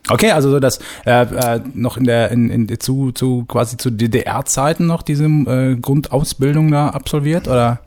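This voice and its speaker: Male host, middle pitch, casual and joking interviewer. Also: steady tone, moderate pace, hardly any pauses, darkish tone. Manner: chatty, joking, hesitant restarts. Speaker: radio show host.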